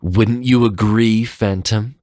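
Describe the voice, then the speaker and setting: A man's voice: on the low side, steady tone, takes it slowly, mellow, no echo, studio quality, voice pushed up. A man voicing an honorable veteran warrior, earnest and imploring, in a dramatic story reading. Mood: reproachful. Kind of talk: dramatic fan fiction reading.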